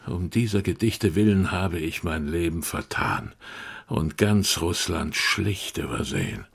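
An actor playing a weary, regretful old Russian poet in exile in a German radio drama, heavy-hearted and theatrical. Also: male voice, low-pitched, animated, measured pace, gravelly, breathy edge, full character voice. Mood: bitter regret.

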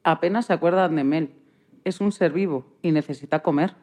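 A woman with a slightly low voice narrates a children's story. Her delivery is scripted storytelling. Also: brisk, darkish tone.

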